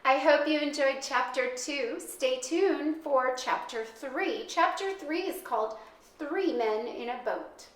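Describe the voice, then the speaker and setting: A woman reading aloud to children like a teacher, speaking clearly and deliberately in a storybook narration style. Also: high-pitched. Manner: clear, deliberate, addresses young listeners.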